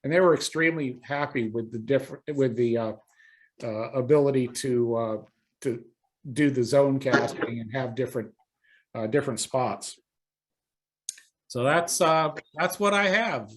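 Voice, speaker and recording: A man giving a technical presentation on a video call, speaking in a halting, hesitant way. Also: medium pitch.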